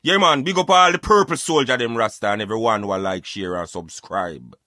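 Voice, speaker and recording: A Jamaican man hosting a casual talk show in patois, animated and emphatic as he addresses his listeners. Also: mid-range voice, a little fast, no echo, clean studio sound. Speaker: radio show host.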